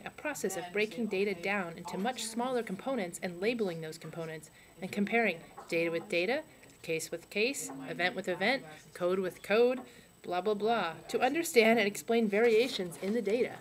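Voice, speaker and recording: A woman voicing a deadpan male sociologist puppet, reading out a comic lecture in a casual way. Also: mid-range voice, a little fast, close to an everyday voice. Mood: lecturing.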